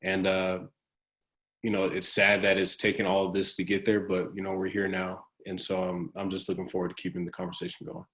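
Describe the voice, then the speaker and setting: Young male student athlete, very low voice, halting with many restarts. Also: creaky, fairly bright tone, low-quality recording. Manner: halting, frequent restarts and fillers. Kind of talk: roundtable discussion.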